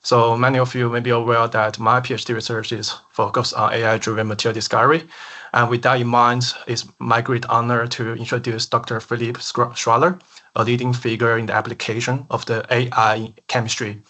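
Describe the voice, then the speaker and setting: PhD student host, bright voice, reads out a formal introduction with frequent stumbles and restarts. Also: male voice, medium pitch, little pitch movement, fairly quick. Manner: stumbling over words, restarts. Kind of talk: read introduction.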